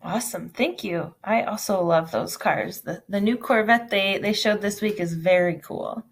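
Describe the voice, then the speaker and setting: A woman hosts a virtual interview, reading the next question aloud in an upbeat, encouraging way. Her voice is mid-pitched and comes over a video call. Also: normal speed, creaky. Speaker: event host.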